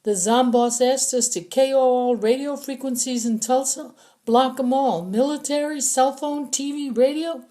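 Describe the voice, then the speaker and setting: Narrator putting on a high-pitched, frightened male prisoner. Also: steady tone, moderate pace, dark tone. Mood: anxious explaining. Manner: groggy, pleading, explaining himself anxiously. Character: captured male scavenger, dazed, frightened and pleading. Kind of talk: audiobook character acting.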